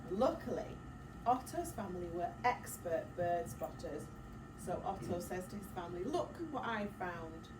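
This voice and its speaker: Animated woman reading a storybook to children. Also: lowish voice, moderate pace. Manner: expressive, dramatic gasps, encouraging prompts. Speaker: teacher reading a picture book aloud to children. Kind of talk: storytelling read-aloud with asides and questions to children. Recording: roomy, distant mic, clear background noise.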